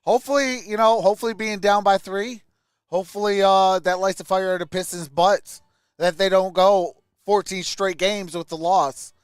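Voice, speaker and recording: A man's voice: sits high, fairly even intonation, normal speed, clear, resonant tone, no echo, clean studio sound. A man hosting a sports livestream, chatting casually and commenting as he answers viewers. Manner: greets viewers by name, conversational.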